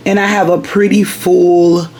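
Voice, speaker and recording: Raspy, gravelly woman vlogger. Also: on the low side, little pitch movement, measured pace, a little mellow, rough recording. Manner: rambling, chatty, direct with viewers. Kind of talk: casual chat to viewers. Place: at home at her vanity.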